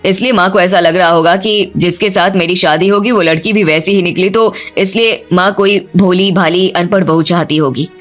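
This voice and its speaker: Resigned young lover, male woman reads him, cartoon drama, lowered pitch, light music bed. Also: lightly acted. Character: troubled young man in love, resigned son.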